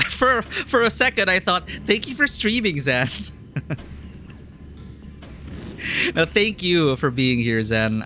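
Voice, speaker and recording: A man's voice: on the high side, bright, forceful tone. A man casually chatting while streaming a video game, laughing often and sometimes putting on mocking villain voices. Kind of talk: casual live-stream commentary.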